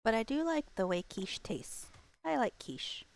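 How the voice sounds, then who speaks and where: A woman livestreamer chatting casually with her viewers in a playful, expressive way. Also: fairly high pitch, a little slow, gravelly.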